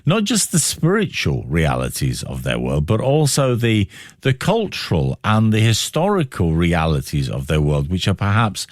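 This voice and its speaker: A male radio host with a low voice that swings expressively in pitch, recorded close and dry. He interviews in deliberate phrases, building them up with repetition and pointed questions. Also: very animated, no room sound.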